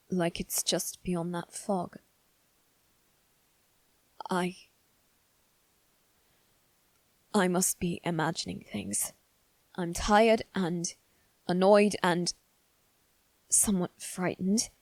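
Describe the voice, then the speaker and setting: A woman voicing a haughty, anxious young noble boy in a halting, formal monologue for dramatic ASMR roleplay. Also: mid-range voice, creaky, a little mellow, dry recording. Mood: hushed, fearful. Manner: halting, formal, self-reassuring delivery.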